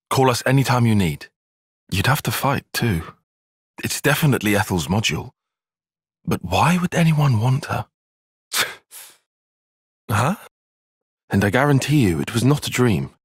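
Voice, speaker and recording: Game cutscene role: earnest young male tactician, bookish and analytical, animated intonation, slightly husky, dry close sound. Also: mid-range voice, no room sound. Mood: calm, thoughtful. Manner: measured, formal, analytical phrasing. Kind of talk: video game cutscene voice acting.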